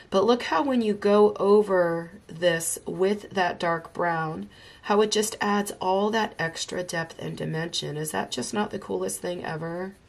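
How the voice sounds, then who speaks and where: A woman's voice: fairly low pitch, gravelly. A woman, slightly congested from illness, enthusiastically talking through a coloring tutorial as she works. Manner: enthusiastic, chatty, talks while drawing. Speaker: art tutorial host. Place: home craft desk.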